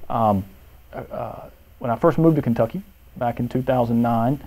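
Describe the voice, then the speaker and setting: A man in his thirties speaks an unscripted testimony, pausing often with fillers. His tone is warm and mellow, recorded close on a lapel mic indoors. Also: mid-range voice. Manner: hesitant, many uh fillers. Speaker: seminary professor.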